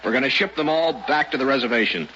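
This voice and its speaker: An actor playing a gruff, contemptuous military officer in an old-time radio drama, brusque and commanding. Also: male voice, medium pitch, expressive, quick pace, lightly acted. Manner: brusque, barking orders. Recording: dull lo-fi radio recording, noisy.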